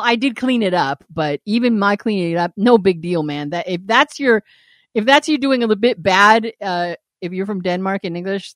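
A woman's voice: lowish voice, no room sound, clean studio sound. Frank, laughing woman podcast host. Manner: frank, swears, laughs often. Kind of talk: casual chat, reading a listener email.

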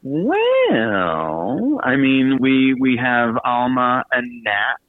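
A man's voice: medium pitch, huge pitch swings, very slow, no echo, phone-quality sound. A man chatting casually as a radio interview guest, self-deprecating and effusive, with a joking manner. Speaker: guest, author and podcast host.

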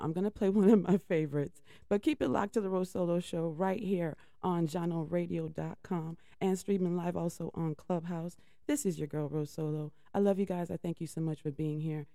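Chatty radio host woman, deep voice. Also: fairly quick, hardly any pauses, dark tone, no room sound, studio quality. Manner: chatty, laughing, rambling with restarts. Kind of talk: casual radio talk.

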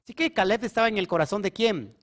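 Preacher, male, high-pitched, emphatic teaching style, keeps checking in with his audience. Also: quick pace, fairly bright tone. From Mexico. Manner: emphatic, asks the audience questions. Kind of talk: sermon teaching.

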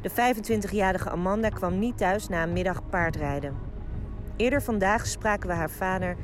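A woman's voice: medium pitch, normal speed, music in the background, natural voice. A woman playing a serious, composed local news reporter reading a scripted report in an audio drama.

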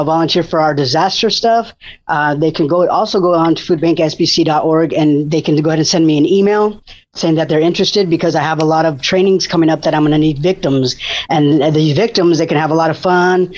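A man's voice: fairly high pitch, quick pace, a little mellow. A man who coordinates disaster preparedness for a food bank, talking enthusiastically as a radio guest, with many fillers and restarts. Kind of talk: casual radio interview. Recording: muffled lo-fi sound.

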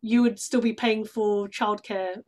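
A woman's voice: medium pitch, steady tone, measured pace, darkish tone. A middle-aged woman from London with a British accent, talking effusively and emphatically as an interview guest. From London, England. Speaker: guest, stage actress. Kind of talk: conversational interview.

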